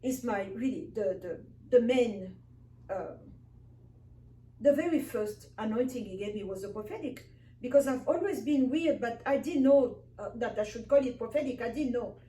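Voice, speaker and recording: Woman, minister, earnest testimony, high-ish voice, roomy echo. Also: moderate pace, dark tone. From Africa. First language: Swahili. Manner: earnest and emphatic, repeating phrases. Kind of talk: personal testimony sermon. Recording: echoey room, distant mic, faint hiss.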